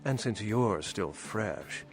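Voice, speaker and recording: An actor playing an urbane, world-weary old vampire scholar in a video game, speaking in a wry, erudite, condescending way. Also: male voice, on the low side, expressive, slow pace. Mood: musing. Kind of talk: video game character acting. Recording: music behind the voice.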